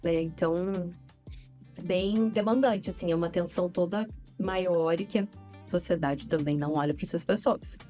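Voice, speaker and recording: A woman, a podcast guest talking animatedly and quickly, often repeating herself. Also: fairly low pitch, faint music behind. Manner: animated, talks fast with many repeats. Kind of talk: casual interview conversation.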